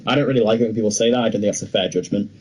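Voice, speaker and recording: A man's voice: lowish voice, very fast, darkish tone. A young British man co-hosting a film podcast, chatting casually as he reviews movies. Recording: clearly noisy background ambience.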